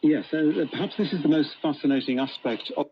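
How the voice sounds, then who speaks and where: A man giving a measured news report with small hesitations, heard over a muffled, noisy shortwave broadcast. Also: fairly high pitch. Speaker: news correspondent.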